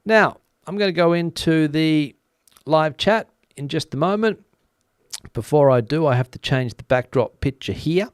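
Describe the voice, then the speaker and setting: A man's voice: on the high side, lively intonation, unhurried, clean tone, dry recording, clean studio sound. A middle-aged man, a native English speaker, hosting a casual live-stream news chat in a chatty, rambling way with many filler sounds. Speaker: news channel host. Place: home studio in front of a backdrop picture.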